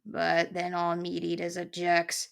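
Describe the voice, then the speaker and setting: Storybook old porcupine, male, played by a woman: low, creaky, cantankerous, scornful, slangy and quick to insult. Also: monotone. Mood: scornful, irritated. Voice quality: growling, gravelly. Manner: barking colorful insults, folksy slangy speech.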